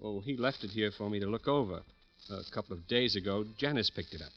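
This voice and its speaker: An actor playing a pretentious, touchy bohemian would-be writer, affected and self-important, in an old radio crime drama. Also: male voice, lowish voice, lively intonation, quick pace, lightly acted. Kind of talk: 1940s-50s radio crime drama acting. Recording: muffled old radio recording with music.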